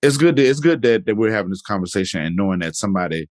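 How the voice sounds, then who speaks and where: Man, podcast host, very deep voice, relaxed conversational talk, dry recording. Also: rapid-fire, dry recording. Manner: loose and conversational, often adds "you know what I'm saying". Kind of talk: casual interview chat.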